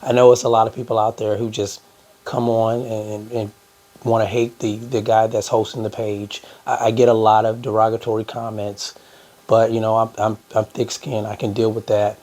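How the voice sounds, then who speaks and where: Man, hoarse, lowish voice, casual host, filler-heavy chat. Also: little pitch movement, moderate pace, a little mellow. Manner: conversational, many "uh" fillers, addresses "guys". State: hoarse from a cold. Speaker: boxing YouTube host. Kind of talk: casual news commentary to viewers.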